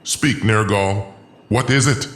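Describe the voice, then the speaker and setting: A man's voice: on the low side, slow pace, very noisy. A man playing an arrogant, wrathful alien tyrant who believes himself a god, in a pompous, menacing audiodrama performance. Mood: furious. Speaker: narrator and lead voice actor. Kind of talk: dramatic first-person audiodrama narration.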